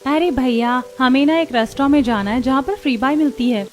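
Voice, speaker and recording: A man's voice: extremely high pitch, brisk, a little hiss or hum, voice pushed up. A man voicing a chatty, polite young female customer in a cartoon with a girlish delivery. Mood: eager, chatty. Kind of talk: Hindi cartoon dubbing.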